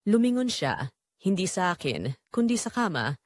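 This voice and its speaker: Lively, brooding confessional storyteller voiced by a woman. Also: mid-range voice, moderate pace, no room sound, studio quality. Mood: torn, melancholy. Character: Jomar, brooding, guilt-torn man confessing a forbidden romance. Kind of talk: scripted first-person dramatic narration.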